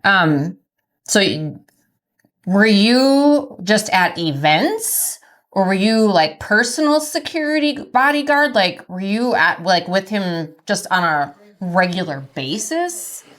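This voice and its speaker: A woman's voice: medium pitch, expressive, takes it slowly, fairly bright tone. A woman hosting a podcast in a casual, conversational way, asking questions bluntly and informally.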